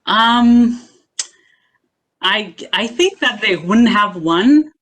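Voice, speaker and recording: A woman from Greece, a guest being interviewed over a video call, answering in a measured way with frequent hesitations. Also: medium pitch, animated, a bit bright, rough recording. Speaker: guest, assistant professor.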